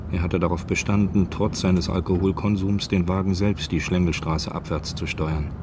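A man's voice: sits low, steady tone, normal speed, noisy background. A man narrating a German radio drama in scripted literary prose. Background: music.

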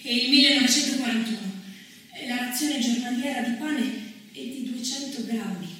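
An actress playing a theatrical narrator of women's wartime history, declaiming deliberately as if on stage. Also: female voice, on the high side, fairly bright tone, close to an everyday voice. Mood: solemn. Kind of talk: theatrical scripted narration with sung passages. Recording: echoey room, distant mic, faint noise.

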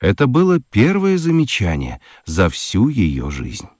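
A man narrating a children's radio play with expressive storytelling. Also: on the low side, measured pace.

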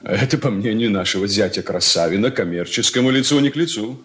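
An actor playing an arrogant young merchant's son in a radio drama, haughty and sneering. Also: male voice, on the low side, lively intonation, quick pace, fairly bright tone, a little hiss or hum. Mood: cold defiance. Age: young adult. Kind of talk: Soviet radio play acting.

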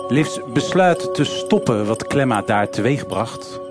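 A man's voice: medium pitch, animated, unhurried, close to an everyday voice. A man playing a grave storyteller in a live noir radio drama, music behind him. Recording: voice under loud live music.

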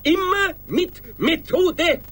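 An actor playing a pompous, pedantic logician, explaining in a precise, self-satisfied way in an absurdist radio play. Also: male voice, very high-pitched, expressive, very slow, fairly bright tone, exaggerated voice. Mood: patient, instructive. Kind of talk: 1980s German radio play acting.

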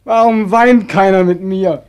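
Radio drama casting: young male war returnee, bitter and desperate, highish voice, declamatory. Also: measured pace, darkish tone, clean tone, highs cut off. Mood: despairing outcry. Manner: declamatory, repetitive, swinging from outcry to brooding. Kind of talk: German radio play acting.

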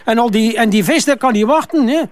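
An actor playing a defensive older Flemish father and company boss in a crime drama, halting, stammering and repeating himself. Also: extremely high pitch, no echo. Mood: agitated, defensive. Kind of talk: naturalistic TV crime drama dialogue.

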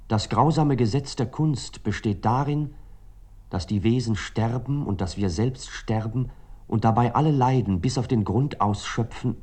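An actor playing a reflective, earnest writer in a literary radio play, speaking in a measured, declamatory way. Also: male voice, lowish voice, a little mellow, slightly rough. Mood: grave, resolute. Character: reflective male writer, earnest and resolute about art. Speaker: actor playing the first-person narrator.

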